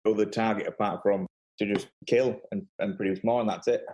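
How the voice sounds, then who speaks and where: A man's voice: lowish voice, fairly even intonation, fairly quick, a little mellow, poor audio. A man chatting casually as a panel guest, earnest and emphatic about his opinions. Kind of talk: casual chat.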